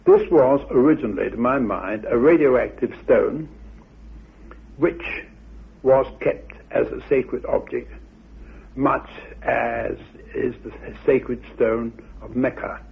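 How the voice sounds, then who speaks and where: A man giving a deliberate, halting, scholarly explanation, as a researcher would in a talk. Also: mid-range voice, creaky, slightly rough. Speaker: researcher interviewed about mythology. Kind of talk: explanatory talk with quoted recitation. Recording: muffled phone-like old radio recording.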